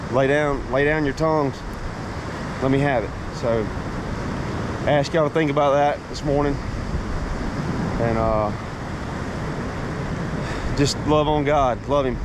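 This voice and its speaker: A man's voice: medium pitch, unhurried, long pauses. A man, talking casually and folksily to his viewers while fishing on a beach, recorded on a body-worn action camera. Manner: folksy, says y'all, talks while fishing. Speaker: fishing vlogger. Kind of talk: casual outdoor vlog. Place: ocean beach under a pier, outdoors. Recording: loud surf and wind noise.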